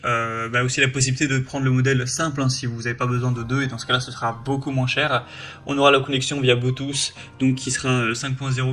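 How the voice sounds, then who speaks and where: A man presenting a product review in a casual, explanatory, conversational way. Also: medium pitch.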